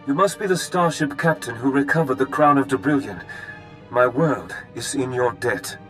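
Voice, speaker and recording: An actor playing a formal, courteous and idealistic count in video game dialogue. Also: male voice, mid-range voice, moderate pace, fairly bright tone, soft background music, lightly acted. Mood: awed, gracious.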